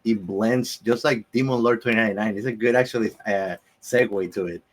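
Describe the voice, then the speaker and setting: Low-voiced man, enthusiastic co-host. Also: a little fast. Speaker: podcast co-host. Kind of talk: casual chat, enthusiastic commentary.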